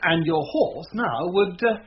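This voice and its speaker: An actor playing a foolish, timid, gullible knight with a British accent and a hesitant manner in a Shakespearean radio comedy. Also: male voice, high voice, animated, unhurried. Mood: amused. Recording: muffled, noisy old radio broadcast.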